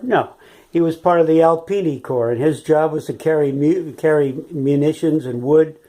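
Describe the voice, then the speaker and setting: An older man from San Francisco, now in his eighties, reminisces in a relaxed, rambling way, often pausing on "uh" and "you know." His tone is soft and mellow rather than bright. He sounds like a retired teacher sitting for an oral-history interview. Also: medium pitch. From San Francisco, California. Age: 80s. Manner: rambling, with frequent "uh" and "you know", chuckles as he remembers.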